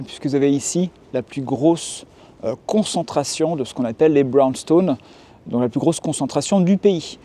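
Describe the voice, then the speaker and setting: A man in his forties from France, calmly explaining things in a casual outdoor vlog, with frequent hesitations. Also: on the high side. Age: 40s. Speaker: YouTuber and tour guide. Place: city street outdoors.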